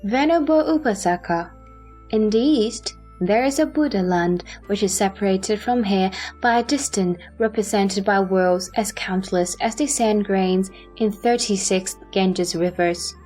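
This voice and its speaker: Stately wise bodhisattva for an audio drama, woman voice, measured reverent delivery, faint music bed. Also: mid-range voice, darkish tone, lightly acted.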